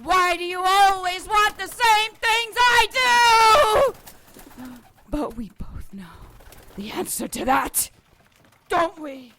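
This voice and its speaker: A woman's voice: extremely high pitch, expressive, slow pace, sharp tone, heavily acted. An actress playing a regal, cruel, paranoid serpent-woman queen in an audio drama, imperious, menacing and theatrical. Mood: taunting, vengeful.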